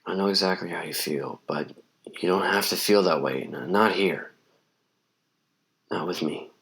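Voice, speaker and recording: Man as tender young seaman for audio drama; deep low voice, reassuring and sincere. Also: a little slow, fairly bright tone, slight background noise, poor audio. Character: gentle young sailor, wounded past, protective sweetheart.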